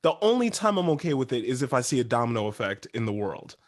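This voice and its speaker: A man with an average-pitched voice chats as a podcast panelist. He is earnest and leans on key words as he gives his opinions, keeping the delivery informal and conversational. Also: very animated, fast talker. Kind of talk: casual fan discussion.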